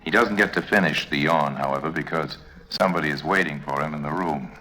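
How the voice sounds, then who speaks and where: A man's voice: extremely low pitch, fairly quick, bright, forceful tone, poor audio. An actor narrating a 1950s radio drama as a wry, knowing con man telling his own tale. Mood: wry, scene-setting. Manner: wry, storytelling. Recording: vintage 1950s radio recording.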